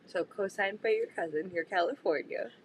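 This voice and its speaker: A woman's voice: medium pitch, normal speed, a little hiss or hum. A woman in casual banter, blunt and teasing, swearing now and then as she talks. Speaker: podcast co-host.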